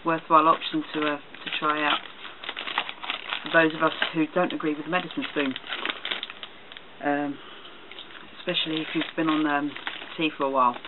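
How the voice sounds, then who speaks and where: A man's voice: a little high, flat delivery. Hesitant man on a tinny, noisy recording. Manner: hesitant, halting delivery. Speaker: product reviewer. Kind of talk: casual show-and-tell vlog. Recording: tinny phone-like sound, loud noise, clicks.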